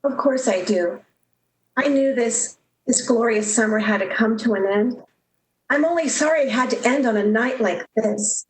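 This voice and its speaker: A woman's voice: on the high side, moderate pace, natural voice. A woman playing a proud, lovestruck young woman in an emotive, melodramatic 1930s-style radio drama. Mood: hurt, proud. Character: lovestruck, proud young switchboard operator, heartbroken. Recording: roomy echo, background noise.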